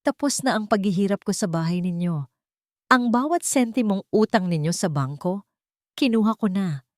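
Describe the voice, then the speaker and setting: A woman's voice: mid-range voice, animated, moderate pace, a little mellow, no room sound, clean studio sound, pitched above the performer's own voice, lightly acted. Cold, resolute wronged wife, read by a woman. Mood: firm, quiet. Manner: cold, composed.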